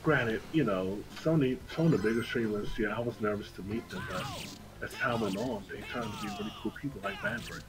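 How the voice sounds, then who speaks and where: A man livestreaming a game and casually telling stories in an animated, slangy way. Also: fairly low pitch, brisk. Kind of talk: casual chat over gameplay. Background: video game music.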